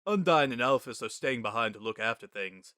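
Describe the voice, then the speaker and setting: A man's voice: mid-range voice, expressive, brisk, pushed and bright, no room sound, clean studio sound. A man voicing an easygoing skeleton who talks in a casual, laid-back way. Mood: reassuring. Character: easygoing short skeleton, relaxed and wry.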